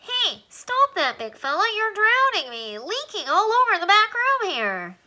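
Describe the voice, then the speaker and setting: A woman voicing a tiny, chatty male alien with a high, squeaky voice and a wisecracking manner. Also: wildly swooping pitch, sharp tone, heavily acted. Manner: fast-talking, wisecracking.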